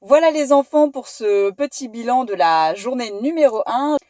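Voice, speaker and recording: A woman's voice: a little high, animated, normal speed, mellow, dry recording, studio quality. A young woman from Paris with a Parisian accent, chatting casually in a vlog, hesitant with many fillers. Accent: Parisian French. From France. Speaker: vlogger. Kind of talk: casual vlog chat with strangers. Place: shopping centre.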